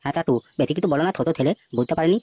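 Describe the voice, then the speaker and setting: A man's voice: on the high side, rapid-fire, warm tone, slightly rough, lo-fi sound. A man voicing a jealous, scheming seven-year-old village boy, sly and crude, in a comic cartoon. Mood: fake innocence.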